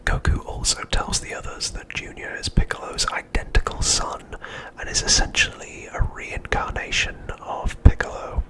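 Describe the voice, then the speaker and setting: A man speaks entirely in a breathy whisper, with almost no voiced tone at all. He narrates in ASMR style, reading a prepared recap in a hushed and measured way. The delivery stays soft and steady, like quiet storytelling. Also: rough recording. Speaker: ASMR host.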